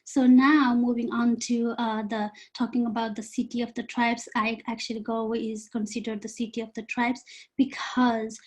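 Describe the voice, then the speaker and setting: A woman from Nepal, presenting earnestly and haltingly over a video call. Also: fairly high pitch, level tone. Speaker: volunteer presenter. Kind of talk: informal online presentation.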